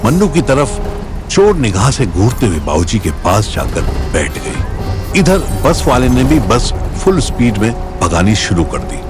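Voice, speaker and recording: A man's voice: mid-range voice, very animated, unhurried, over music. A man narrating a Hindi audio story in a dramatic scripted style.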